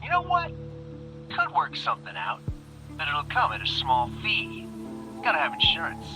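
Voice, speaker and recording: Sly henchman, widely swinging pitch. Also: wildly swooping pitch, normal speed, over music. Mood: sly menace. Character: menacing male criminal henchman, sly and threatening. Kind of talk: dramatic video game voice acting.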